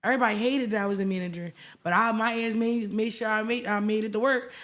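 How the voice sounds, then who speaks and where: A young woman from West Philadelphia with a Philly accent, a podcast guest telling her story in a casual, animated way, often saying "like". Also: a little high, fast talker. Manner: animated, acts out voices in her stories, lots of "like".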